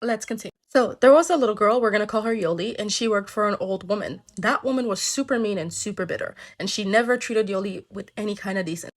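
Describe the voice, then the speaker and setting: A woman's voice: medium pitch, quick pace, few pauses. A woman telling folktales in a casual, chatty way, tossing in joking asides to listeners. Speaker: storyteller.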